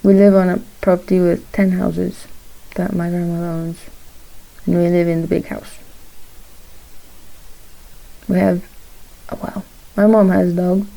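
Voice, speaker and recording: Shy young woman vlogging while she draws, low and mellow, halting with frequent soft laughs. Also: gravelly, low-quality recording. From Morocco. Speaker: vlogger, aspiring character designer. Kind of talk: casual chat while drawing.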